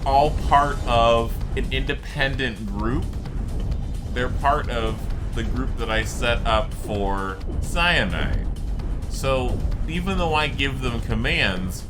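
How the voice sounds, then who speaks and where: A man giving casual, rambling, explanatory commentary on a game during a live stream, as the streamer. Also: mid-range voice.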